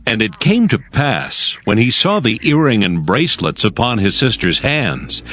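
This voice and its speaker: A man's voice: medium pitch, very animated, normal speed, a bit bright. A man narrating scripture for a dramatized audio Bible in a formal storytelling manner.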